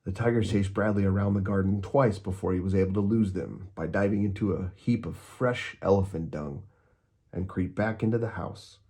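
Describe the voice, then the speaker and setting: Man, children's storybook narrator, dark mellow tone, lowish pitch, expressive, dramatic emphasis. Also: normal speed. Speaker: storyteller reading a children's book aloud.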